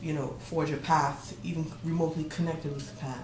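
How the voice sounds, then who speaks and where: Woman interviewer, lowish voice, echoey room. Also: little pitch movement, moderate pace, background noise. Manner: prompting, finishes the guest's sentences. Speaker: interviewer, host. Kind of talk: conversational interview. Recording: echoey room, faint ambience.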